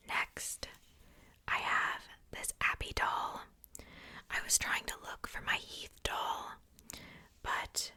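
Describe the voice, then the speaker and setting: A woman speaking in a soft, breathy whisper, chatting casually and unhurriedly in ASMR style close to a sensitive microphone. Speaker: ASMR video creator.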